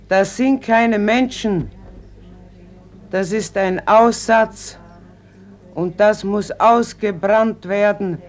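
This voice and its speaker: A middle-aged fisherwoman in a radio drama, grieving yet resolute. She speaks extremely slowly and deliberately, with stark, embittered gravity. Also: medium pitch. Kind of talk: German radio play acting.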